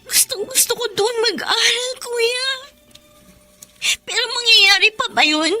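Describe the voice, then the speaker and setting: A woman's voice: very high-pitched, animated, measured pace, bright, forceful tone, a little hiss or hum, heavily acted. A woman playing a stubborn, anxious teenage daughter in an emotional radio drama. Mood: pleading, determined.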